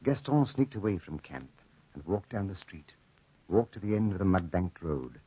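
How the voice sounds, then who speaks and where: An actor playing a wry narrator of a dark desert tale in 1940s radio drama style, theatrical and deliberate. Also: male voice, low voice, sing-song, slightly rough, no room sound, exaggerated voice. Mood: ominous, suspenseful. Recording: old lo-fi radio recording.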